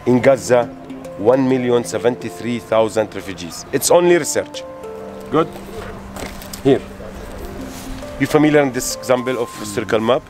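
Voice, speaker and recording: Middle-aged man, tour guide, halting English, outdoors, faint music behind. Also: mid-range voice. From Palestine. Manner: halting non-native English, reading out figures. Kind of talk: explaining to visitors. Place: outdoors by a street wall.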